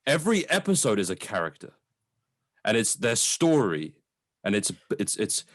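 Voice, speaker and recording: Low, animated, enthusiastic man. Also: normal speed, no echo, studio quality. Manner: enthusiastic, effusive. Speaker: podcast co-host. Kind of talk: casual film discussion chat.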